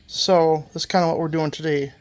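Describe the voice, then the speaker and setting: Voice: medium pitch, steady tone, normal speed. The host of a repair channel, stuffed up from a lingering cold, casually walks through a mower engine repair in a workshop, rambling and correcting themselves as they go. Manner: rambling, self-correcting, many fillers. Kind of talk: casual hands-on repair walkthrough.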